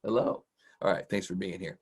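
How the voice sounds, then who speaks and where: A man's voice: deep voice, fairly even intonation, brisk, slightly rough. A middle-aged man hosting an informal, encouraging vocabulary lesson for children in a folksy, casual way. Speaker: host, teacher.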